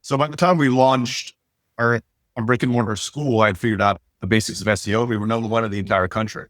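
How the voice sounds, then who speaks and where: A man in his forties, raised in Turkey with Turkish as his first language, speaks quickly in a slightly low voice. He tells stories eagerly as an interview guest. The recording is dry and close. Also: no room sound. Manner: fast, animated storyteller. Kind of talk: casual interview storytelling.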